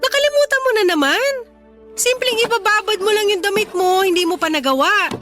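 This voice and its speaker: A woman's voice: extremely high pitch, lively intonation, brisk, loud music behind the voice. A woman playing a tired, brusque young Filipino working mother who scolds wearily in a radio drama. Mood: exasperated, scolding. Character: tired, harried working single mother in her twenties. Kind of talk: Tagalog radio drama acting.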